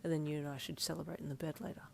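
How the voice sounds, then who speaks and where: A woman's voice: flat delivery, rapid-fire, creaky, a little mellow, slight background noise. A woman doing a sly, flirty husband. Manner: low murmur, teasing.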